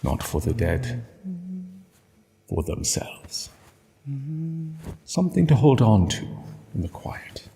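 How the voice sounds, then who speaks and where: A man narrating a literary story solemnly, in measured short phrases with dramatic pauses. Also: fairly low pitch, sing-song, gravelly, mellow. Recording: long reverb, music bed. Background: music.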